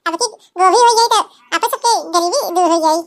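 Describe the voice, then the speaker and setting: Woman as young cartoon village bahu, awadhi accent, really squeaky high, earnest and fretting over family. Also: fairly quick. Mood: hopeful. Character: dutiful, sympathetic young village daughter-in-law, fretting over poverty. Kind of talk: cartoon village comedy dialogue.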